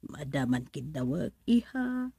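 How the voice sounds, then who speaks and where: A woman with an Ilocano accent acting a doubtful, probing middle-aged mother and auntie in a radio drama, with drawn-out exclamations. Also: low voice, sing-song, creaky, mellow, breathy edge, no room sound, studio quality, exaggerated voice. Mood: soft, coaxing.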